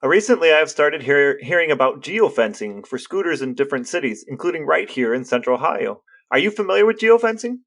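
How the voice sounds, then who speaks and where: An American man co-hosting a podcast, explaining things casually in a measured, matter-of-fact way. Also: on the high side, animated, fairly bright tone. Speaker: podcast co-host.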